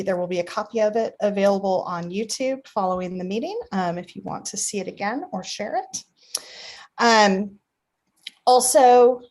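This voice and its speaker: A woman's voice: medium pitch, a little mellow. Woman moderator with many fillers. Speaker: session moderator. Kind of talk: introducing speakers. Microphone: video call.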